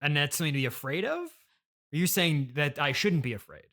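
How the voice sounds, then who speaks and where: A man's voice: fairly high pitch, expressive, fast, sharp tone. A man hosting an improvised comedy conversation, speaking in an awkward, hesitant but earnestly reasoning way. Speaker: podcast host playing himself.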